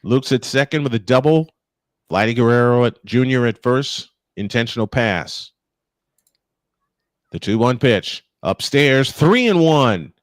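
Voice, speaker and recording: A man's voice: medium pitch, expressive, unhurried, dry recording. A man doing live baseball play-by-play, folksy and chatty as he banters with co-hosts. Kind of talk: live sports commentary with casual banter.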